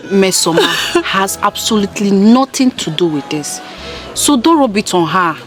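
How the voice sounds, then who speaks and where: A woman's voice: mid-range voice, animated, normal speed, soft background music, close to an everyday voice. An actress with a Nigerian accent playing a patient, consoling woman in a film drama, soothing and pleading. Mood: firm, protesting. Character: patient, consoling woman, firm defender of others.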